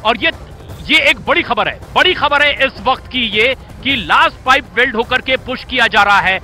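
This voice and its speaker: A man's voice: extremely high pitch, normal speed, sharp tone, faint music behind. A man anchoring live breaking news in an urgent, emphatic manner, often repeating phrases for weight.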